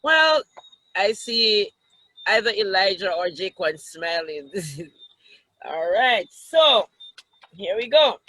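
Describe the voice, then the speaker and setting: A woman with a Jamaican accent reading a story aloud to children, expressive and teacherly, with asides to the listeners. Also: mid-range voice, slow pace, pauses often, no room sound, low-quality recording.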